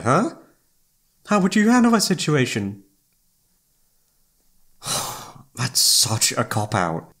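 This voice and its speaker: A man's voice: fairly high pitch, a bit bright, breathy edge, heavily acted. A man acting as an informal, emotive young best friend who is secretly in love, in a one-sided roleplay audio drama. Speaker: voice actor.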